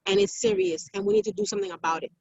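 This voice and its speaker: A woman's voice: very high voice, wildly swooping pitch, very fast, vocal fry, a little mellow, no echo. A woman born in Haiti, interviewed as an activist, telling her story emphatically with many fillers and restarts over a video call. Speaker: guest activist. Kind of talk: interview recounting events. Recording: dull lo-fi dry sound.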